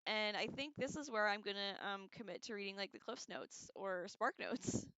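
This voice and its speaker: A woman's voice: medium pitch, moderate pace, background noise. A woman hosting a casual, rambling livestream discussion with an irreverent, slangy manner, on a slightly dull microphone. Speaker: livestream host.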